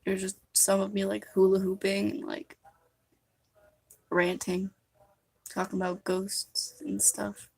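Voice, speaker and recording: A woman's voice: medium pitch, completely flat delivery, takes it slowly, creaky, pauses often, slightly rough, no room sound, low-quality recording. A young woman chatting casually and musingly while live streaming a makeup session, rambling between thoughts. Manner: rambling, soft-spoken and musing.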